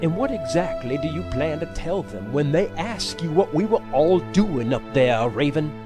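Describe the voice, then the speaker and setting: A man's voice: fairly high pitch, expressive, moderate pace, over music, lightly acted. A man playing an insistent, controlling husband who schemes, in an audio drama. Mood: stern. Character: TJ, defensive scheming husband covering up a death.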